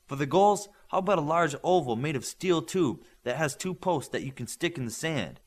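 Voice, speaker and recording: A man's voice: fairly high pitch, lively intonation, normal speed, voice pushed up. A man reading the part of a mild, cautious peacemaking boy with powers in an audiobook, questioning and agreeable. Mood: reasoning.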